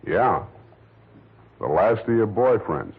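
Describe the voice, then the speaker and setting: Cynical hard-boiled detective, lowish voice, dry clipped delivery, vintage muffled radio sound. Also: male voice, takes it slowly, creaky, breathy edge, heavily acted. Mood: flat, deadpan. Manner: deadpan, wry, clipped. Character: hard-boiled, coolly detached male private detective, wry narrator. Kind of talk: 1940s radio detective drama acting and narration. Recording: muffled old 1940s radio recording.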